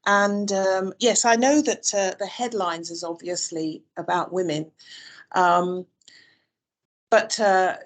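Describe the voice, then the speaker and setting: A woman's voice: mid-range voice, fairly even intonation, takes it slowly. A woman from the UK answering interview questions on a video call, thoughtful, with many fillers and restarts. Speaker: interview guest. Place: Dubai.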